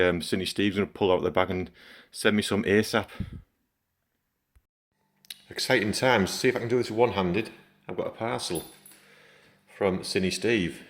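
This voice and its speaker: A middle-aged man with a croaky voice from a cold, chatting casually in a home vlog as he works and comments on it. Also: on the low side. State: hoarse from a cold. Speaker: gaming channel host.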